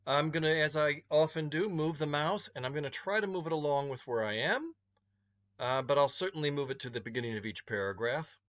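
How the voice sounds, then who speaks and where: A man's voice: a little high, a little fast, fairly bright tone, highs cut off. A man reading a story aloud to students as a teacher, in a read-along narration.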